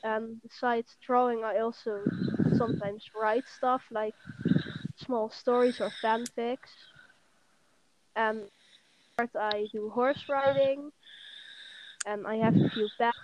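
A teenage girl from the Netherlands with a Dutch accent, a guest on a casual podcast who talks in an unhurried way with many ums. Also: fairly high pitch, fairly even intonation, a little hiss or hum. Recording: dull, muffled audio.

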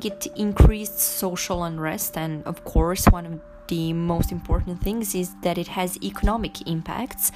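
Lower-voiced young woman over music. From Serbia. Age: young adult. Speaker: interviewee. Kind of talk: recorded opinion.

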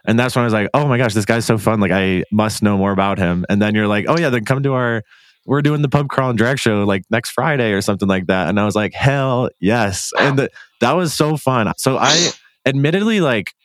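A man's voice: mid-range voice, a little fast, dry recording, clean studio sound. A man hosting a podcast in a casual, informal, gushing way, peppering his talk with "like". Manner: gushing, informal, frequent 'like'. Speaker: podcast host. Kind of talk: casual podcast interview chat.

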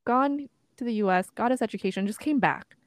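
A woman's voice: on the high side, brisk, dry recording, studio quality. A young woman born in Korea, co-hosting a podcast, talking in a reflective, thinking-aloud way with many fillers. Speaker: podcast co-host. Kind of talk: casual chat.

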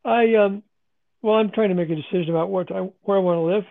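A man with a hoarse, worn-out voice chatting casually as a podcast host, halting and restarting as he talks. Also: high voice. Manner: halting, many restarts and fillers. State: hoarse, losing his voice. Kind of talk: casual chat. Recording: phone-quality call line.

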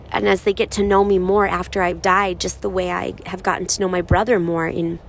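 Raspy-voiced woman, softly grieving. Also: mid-range voice, slight background noise. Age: 30s. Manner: slow, soft-spoken, halting with pauses. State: raspy from crying. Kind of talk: personal reflective monologue.

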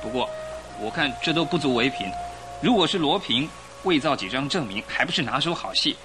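Animated, scoffing male passenger played by an actor. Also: mid-range voice, brisk, music in the background, lightly acted. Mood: skeptical. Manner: dismissive, scoffing. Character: skeptical, opinionated male ship passenger. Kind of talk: Chinese radio drama acting.

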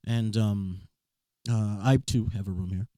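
A man with a rough, raspy voice, talking casually about music as a guest in a studio room. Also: lowish voice, unhurried. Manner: relaxed, rambling casual chat with restarts. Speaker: blues and soul musician, podcast guest. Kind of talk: casual chat.

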